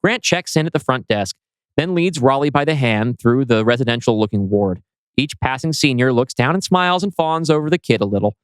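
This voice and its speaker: A man's voice: mid-range voice, expressive, fairly quick, clean tone, no room sound. A man reading scripted screenplay narration as writer and narrator.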